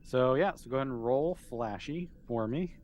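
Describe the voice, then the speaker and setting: A man's voice: mid-range voice, normal speed, darkish tone. Man game-mastering casually, noisy recording. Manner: conversational, improvising as they go. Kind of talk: casual tabletop game narration.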